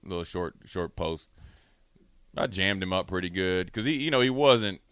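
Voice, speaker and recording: A man telling casual sports stories as a radio co-host, animated and acting out what people said. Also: sits low, moderate pace, smooth, no room sound, studio quality. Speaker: radio show co-host, former pro football player.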